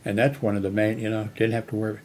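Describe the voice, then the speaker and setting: A man's voice: fairly low pitch, level tone, fast, darkish tone. A man in his eighties talking in a rambling personal monologue, full of fillers and restarts. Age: 80s. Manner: meandering, many fillers and restarts. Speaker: channel host.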